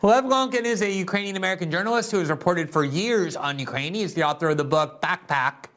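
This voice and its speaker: A man's voice: high-pitched, fast, a bit bright. A man hosting a political interview show, reading a sponsor spot and asking long, winding questions in an earnest way. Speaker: show host.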